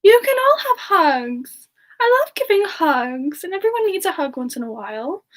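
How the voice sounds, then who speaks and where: A woman voicing a gentle, affectionate character in a soft-spoken semi-ASMR chat with viewers. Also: very high-pitched, sing-song, moderate pace. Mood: affectionate, relaxed. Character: Frostbyte, gentle affectionate character who loves hugs. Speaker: live stream host.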